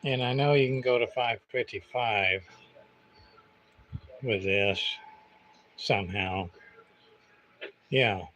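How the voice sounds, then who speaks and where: A man's voice: fairly low pitch, takes it slowly, long pauses, a bit bright, low-quality recording. A man hosting a casual livestream demonstration, thinking aloud in a hesitant, rambling, muttering way. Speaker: livestream host, CB radio repairer. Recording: clear background noise.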